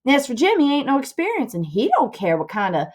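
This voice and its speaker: A woman's voice: sits high, huge pitch swings, fast, a little mellow, pitched above the performer's own voice, heavily acted. A woman voicing a practical, plain-spoken boy sidekick in a storybook reading, questioning in rustic dialect. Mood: practical, objecting.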